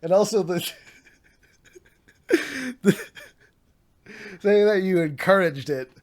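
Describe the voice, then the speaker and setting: A man's voice: high-pitched, slow pace. A man streaming, reading a document aloud with sarcastic, mocking asides, his voice getting a little worn.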